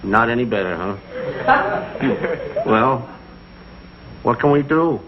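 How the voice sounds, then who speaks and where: An actor playing a hard-luck, slyly hinting telegram messenger with a hesitant, plaintive manner in a vintage broadcast comedy. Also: male voice, medium pitch, lively intonation, slow pace. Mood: woeful. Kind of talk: 1960s broadcast comedy acting. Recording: dull vintage broadcast, loud audience, heavy noise.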